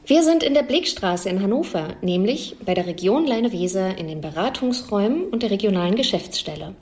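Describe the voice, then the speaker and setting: A woman's voice: mid-range voice, expressive, a little fast, darkish tone, slightly rough. A woman hosting a podcast, presenting introductions and interview questions. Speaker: podcast host.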